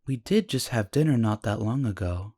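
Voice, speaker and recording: Man playing an earnest, husky young hero. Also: lowish voice, lively intonation, moderate pace, warm tone, dry recording, clean studio sound. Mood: gentle, supportive. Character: earnest, caring young protagonist, easily exasperated. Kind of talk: anime-style audio drama acting.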